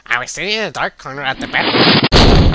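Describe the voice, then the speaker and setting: A man's voice: a little high, lively intonation, normal speed, heavy background noise, natural voice. A man voicing a pompous, lecherous bandaged villain in a parody anime fan dub, theatrical and easily exasperated. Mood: boastful.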